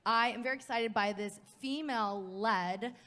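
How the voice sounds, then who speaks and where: A woman's voice: fairly high pitch, moderate pace, a bit bright. A woman moderating a live conference panel, upbeat and emphatic in her delivery.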